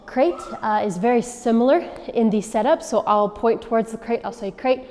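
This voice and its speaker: A woman dog trainer calmly explaining and demonstrating commands step by step. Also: a little high, normal speed, a little hiss or hum. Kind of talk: instructional demonstration. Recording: long reverb from a large room.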